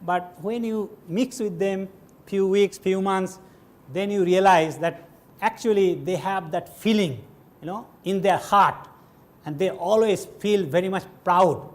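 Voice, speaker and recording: A man's voice: high-pitched, unhurried. A middle-aged man from West Bengal with a Bengali-tinged Indian English accent, giving a conversational lecture on a hall stage and asking the audience questions as he goes. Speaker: guest lecturer. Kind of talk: classroom lecture.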